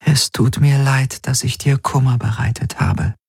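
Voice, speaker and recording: A man voicing a sorrowful, devoted female apparition with a breathy, hushed, halting delivery, in an audiobook story. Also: medium pitch, fairly even intonation, no room sound, clean studio sound, voice pushed up, exaggerated voice. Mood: sad, devoted. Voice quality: breathy, whispery. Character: fading glassy dream apparition, female, sorrowful devoted servant.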